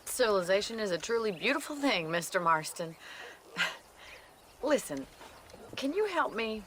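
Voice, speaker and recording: An actress playing a hardy, earnest American rancher's daughter of the Old West, frank and practical, in a video game. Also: female voice, medium pitch, very animated, measured pace, a bit bright. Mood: earnest, concerned. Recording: outdoor animal and hoofbeat noise behind.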